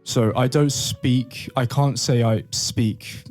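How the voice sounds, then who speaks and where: Guy on a podcast, dark mellow voice, casual chat, music behind. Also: mid-range voice, fairly even intonation, slow pace. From born in Brazil, moved to the UK. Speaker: podcast host.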